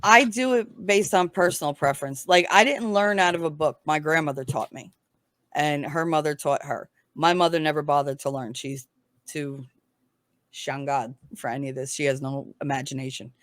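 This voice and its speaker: A woman's voice: low-pitched, steady tone, moderate pace, fairly bright tone, no echo. A woman giving a casual tarot reading, speaking in a frank, informal, explanatory way. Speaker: tarot reader, guest.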